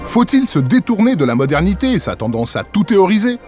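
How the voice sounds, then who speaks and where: Lively, higher-pitched man narrating. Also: fairly quick. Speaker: magazine show narrator. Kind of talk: scripted narration. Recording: voice-over with faint music. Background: faint background music.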